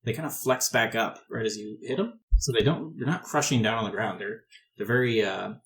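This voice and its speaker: Low-voiced man running a tabletop game. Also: brisk, a bit bright. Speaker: game master. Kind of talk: improvised tabletop game narration.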